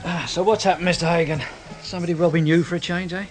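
An actor playing a brash, scruffy, wisecracking detective inspector with an English accent in a radio crime drama, blunt and colloquial. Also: male voice, a little high, fairly even intonation, quick pace, soft background music, close to an everyday voice. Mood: sardonic. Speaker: police detective inspector character.

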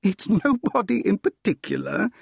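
A man voicing a proud, composed young woman in a storybook, gently reproving as she turns down a suitor. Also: sits high, sing-song, normal speed, vocal fry, husky, no echo, clean studio sound, pitched above the performer's own voice, exaggerated voice. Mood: teasing.

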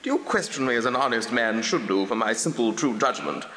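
An actor playing a witty, mocking bachelor lord who scorns love, in bantering, declamatory Shakespearean stage style. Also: male voice, medium pitch, expressive, brisk, roomy sound, long reverb tail, crowd noise, close to an everyday voice. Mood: teasing. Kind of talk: theatrical Shakespearean stage acting.

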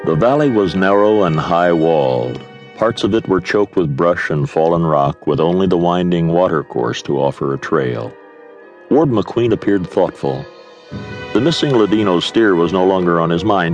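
A man's voice: low voice, dull audio. A man reading scripted dramatic narration for a western audio drama.

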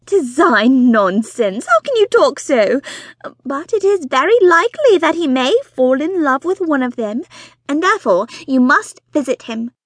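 A woman voicing an excitable, fussy middle-aged mother who gushes and grows impatient with her husband. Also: measured pace, no echo. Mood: excited, gushing. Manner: shrill, gushing, impatient.

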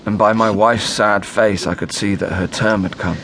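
An English actor playing a fussy, defensive young husband and diarist in a Restoration period radio drama. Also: male voice, fairly low pitch, fairly even intonation, moderate pace, a bit bright, a little hiss or hum. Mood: sorrowful. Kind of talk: radio drama with diary narration.

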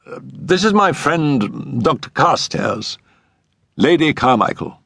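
A man's voice: medium pitch, big pitch swings, slow pace, gravelly, fairly bright tone, husky, no room sound, pitched above the performer's own voice, exaggerated voice. A man voicing an anxious, frank and earnest male doctor in an audiobook story. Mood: hesitant.

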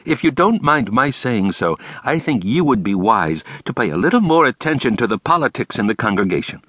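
Theatrical fortyish male principal, high and animated, fussy and indignant, voiced by a male reader. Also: a little fast, dry recording, clean studio sound. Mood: insistent. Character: bouncy flamboyant fortyish male school principal.

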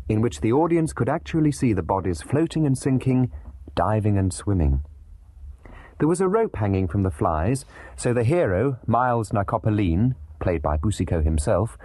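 A man reading a scripted documentary narration in a literate, measured way with dramatic emphasis. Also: lowish voice, darkish tone, breathy edge, no room sound.

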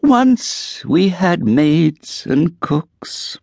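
A man voicing a fussy, genteel old woman landlady who pries sweetly, with drawn-out sing-song lines. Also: a little high, big pitch swings, gravelly, darkish tone, no room sound, clean studio sound, pitched above the performer's own voice, heavily acted. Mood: wistful prying. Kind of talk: audiobook character voice.

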